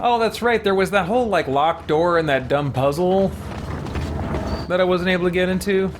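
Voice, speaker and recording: A man's voice: high voice, normal speed. Man casually commentating with faint background noise. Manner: easygoing, thinks aloud. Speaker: game streamer and host. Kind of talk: casual live-stream game commentary, reading out chat.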